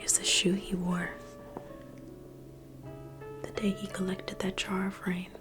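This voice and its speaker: Woman, whispered ASMR museum-guide read, very unhurried, soft music bed underneath. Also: mid-range voice, completely flat delivery. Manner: soft whispering, intimate and reassuring. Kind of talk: ASMR roleplay.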